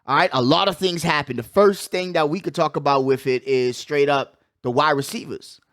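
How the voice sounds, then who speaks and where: A man's voice: a little high, moderate pace, fairly bright tone, no room sound, studio quality. A man hosting a casual sports talk show, slangy and emphatic, often repeating his catchphrases. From United States. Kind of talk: casual hyped sports chat.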